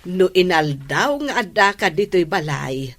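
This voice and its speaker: A woman's voice: fairly low pitch, very animated, a little slow, a bit bright, full character voice. A woman playing an affectionate, ailing elderly mother with a Filipino accent in a radio drama. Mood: fond, cheerful. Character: elderly ailing mother, affectionate, coaxing her son to visit.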